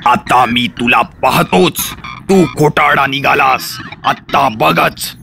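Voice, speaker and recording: A man voicing a scheming wolf in a children's animated fairy tale, speaking slowly and deliberately. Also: mid-range voice, gravelly, soft background music, full character voice. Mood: threatening. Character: scheming male wolf, friend turned vengeful.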